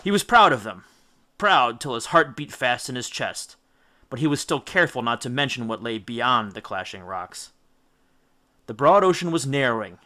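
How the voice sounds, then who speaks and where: A man reading an epic story aloud as an audiobook, with theatrical, emphatic delivery and deliberate dramatic stresses. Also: mid-range voice, bright, forceful tone. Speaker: audiobook narrator. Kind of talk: dramatic scripted storybook narration.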